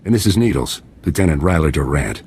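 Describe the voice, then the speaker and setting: A man's voice: a bass voice, lively intonation, fast talker, darkish tone, husky, exaggerated voice. A man playing a confident, welcoming special forces commander in a video game, speaking with assured, hearty formality. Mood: welcoming, proud.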